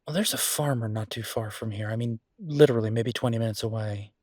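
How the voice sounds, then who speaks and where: A man playing an easygoing, affectionate restaurant owner in a roleplay audio drama, chatting casually as if thinking out loud to his partner. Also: on the low side, a little fast, dry recording, studio quality, close to an everyday voice. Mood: musing, persuasive. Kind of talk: boyfriend roleplay audio drama, one-sided conversation.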